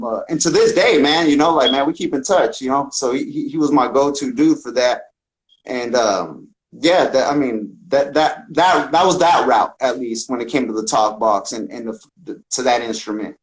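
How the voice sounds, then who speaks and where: A man's voice: medium pitch, moderate pace, poor audio. A man speaking as a podcast guest and musician in a casual, slangy, profane conversational style. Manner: slangy, profane, often says "man" and "bro".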